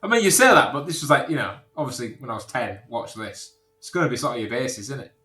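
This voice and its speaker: A man's voice: medium pitch, expressive, fast, bright, forceful tone. A man chatting casually as a podcast guest, with a teasing, sardonic manner. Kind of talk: casual comedic chat. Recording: echoey room sound.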